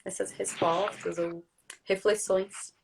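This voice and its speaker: A woman with a European Portuguese accent hosts a casual live stream in a mid-range voice. She talks in a chatty, friendly way, tagging her phrases with quick confirmations. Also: mid-range voice, flat delivery, gravelly, a little hiss or hum, low-quality recording. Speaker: host.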